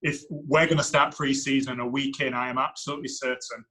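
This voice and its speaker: A man in his thirties, a soccer coach, with a deep voice and a non-American accent, answering interview questions in a reflective, thinking-aloud way. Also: fairly even intonation, fairly quick, bright, forceful tone. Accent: foreign to the United States. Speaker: women's soccer head coach.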